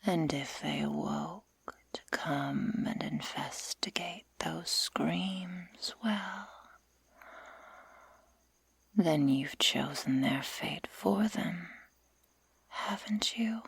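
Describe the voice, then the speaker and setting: A woman voicing a sadistic, taunting werewolf girl in a breathy whisper for an ASMR roleplay, speaking slowly and deliberately with mock-sweet menace. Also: on the low side, no room sound, full character voice. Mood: coaxing threat.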